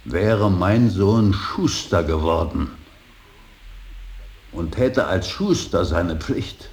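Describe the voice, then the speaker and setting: An actor playing a stern, earnest older painter and professor in a radio play, preaching duty with emphatic, deliberate repetition. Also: male voice, lively intonation, darkish tone, exaggerated voice. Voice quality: gravelly, very deep. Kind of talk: German radio play acting. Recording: hissy radio drama recording.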